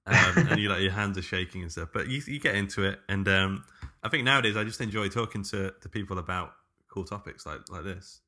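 Bright-voiced man co-hosting, lowish pitch. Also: brisk. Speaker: podcast co-host. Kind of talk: casual podcast interview chat.

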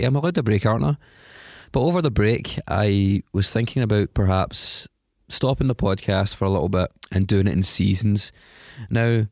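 A Scottish man speaks in a fairly low voice, a little stuffed up from a cold. He rambles in a chatty, self-mocking way through his podcast intro. The sound is dry and close. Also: creaky, darkish tone, dry recording. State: has a cold, sniffly. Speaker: podcast host. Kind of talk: solo podcast intro monologue.

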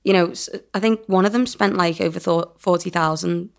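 Low, creaky-voiced woman chatting. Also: brisk. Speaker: podcast co-host. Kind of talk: casual chat.

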